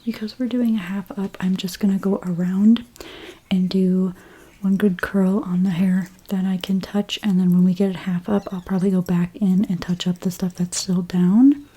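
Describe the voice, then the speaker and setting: A woman whispering breathily in an ASMR role play as a hairstylist, gently and unhurriedly narrating each step. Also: medium pitch, flat delivery, moderate pace, husky, low-quality recording.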